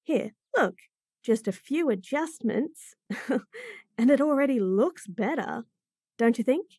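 A woman's voice: on the high side, animated, slow, dark tone, no room sound, studio quality. A woman voicing a bratty, sassy bunny-girl chef in a fantasy roleplay audio, teasing and talking straight to the listener. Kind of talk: F4M roleplay audio, acted monologue.

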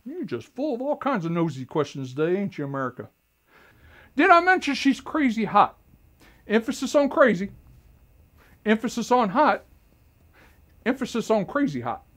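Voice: mid-range voice, fairly bright tone. A performer playing a folksy, rambling rural man who talks straight to the audience in a comic monologue. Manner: chatty, folksy, keeps asking "you know what I'm saying?". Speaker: self-styled host addressing America. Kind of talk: comic character monologue to camera.

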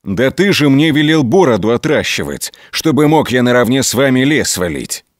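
A man voicing a meek, quiet young peasant looking for work in a folk tale, plain and mild. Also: medium pitch, sing-song, normal speed, dry recording, clean studio sound, lightly acted. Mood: mild, earnest.

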